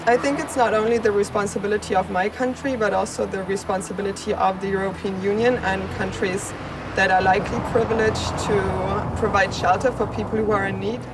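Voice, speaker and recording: A woman gives her opinion as a street interviewee. She is a bit far from the mic, with traffic noise clearly around her. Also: medium pitch, steady tone, fairly quick.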